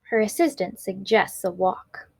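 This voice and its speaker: A woman reading a children's picture book aloud, expressive and deliberate, putting emphasis on the action words. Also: medium pitch, gravelly, husky, dry recording. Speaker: read-aloud teacher.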